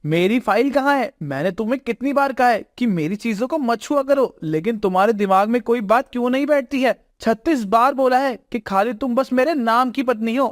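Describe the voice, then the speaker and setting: A woman's voice: a little high, a little fast, hardly any pauses, no echo, studio quality, pitched above the performer's own voice, lightly acted. A woman voicing an arrogant young husband in a story, indignant and scornful. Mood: angry. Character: arrogant, short-tempered young husband and son.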